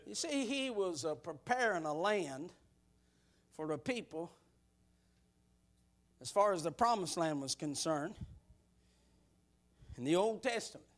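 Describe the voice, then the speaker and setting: High, lively-voiced preacher in his sixties. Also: a little slow, long pauses. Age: 60s. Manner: rhythmic preaching, swells into shouted bursts; folksy, drops to quiet asides. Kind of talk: sermon.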